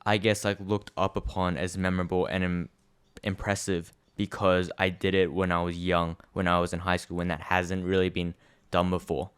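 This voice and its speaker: A man's voice: low-pitched, level tone, normal speed, a bit bright, clear, resonant tone. A young man co-hosting a podcast, chatting casually and reflectively, rambling with many fillers. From Australia. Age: young adult. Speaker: podcast co-host. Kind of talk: casual chat.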